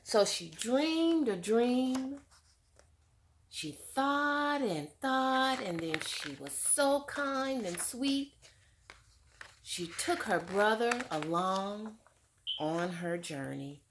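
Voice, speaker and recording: A woman's voice: fairly high pitch, animated, drawn-out words, noisy background. A woman reading a picture book aloud to children, then talking it over with them like a teacher and asking them questions. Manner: warm teacherly, asks questions to young listeners. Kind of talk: children's read-aloud with discussion.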